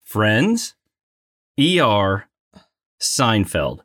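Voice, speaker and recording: A man's voice: lowish voice, sing-song, very slow, a bit bright, dry recording, studio quality. A man co-hosting a trivia game with casual banter and a playfully dramatic delivery. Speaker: trivia game show co-host and contestant.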